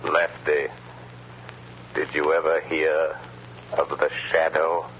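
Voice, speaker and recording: An actor playing a mysterious male avenger in a 1930s radio drama who taunts a crook with slow, deliberate menace. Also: extremely low pitch, monotone, fairly bright tone, full character voice. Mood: menacing. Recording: dull, faintly hissy 1930s radio transcription.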